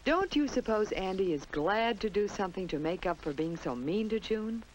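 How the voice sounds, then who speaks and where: A woman's voice: medium pitch, animated, normal speed, a little hiss or hum, low-quality recording. An American woman narrating a 1950s children's instructional film in a simple storytelling manner, on an old film soundtrack. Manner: simple, storytelling delivery addressed to young children.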